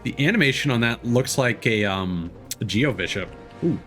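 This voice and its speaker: Guy streaming a game, chatty n reactive, really lively pitch swings, game music behind him. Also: moderate pace. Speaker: game livestreamer, host. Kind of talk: casual live game commentary.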